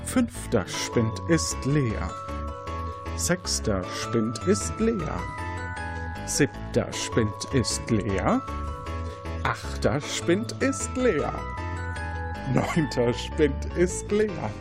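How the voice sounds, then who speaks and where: A man's voice: mid-range voice, wildly swooping pitch, extremely slow, pauses often, over music. A man hosting an interactive crime game, narrating scenes as game master in a partly improvised, playful way.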